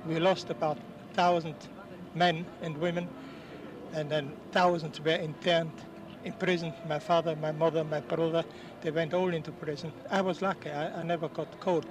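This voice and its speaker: A man in his late seventies, born in Austria, speaking in an earnest, halting way with restarts, interviewed outdoors at a public ceremony. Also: fairly high pitch, level tone. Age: 70s. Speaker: war veteran interviewee. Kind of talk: outdoor interview, recounting memories. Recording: distant mic, noisy outdoors.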